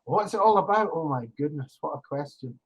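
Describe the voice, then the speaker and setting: Older scottish man, husky, slightly high voice, chatty tutor, restarts phrases. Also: lively intonation, fairly quick, low-quality recording. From Scotland. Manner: chatty, explains with examples, laughs easily, restarts phrases. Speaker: online English tutor. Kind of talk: casual conversation lesson.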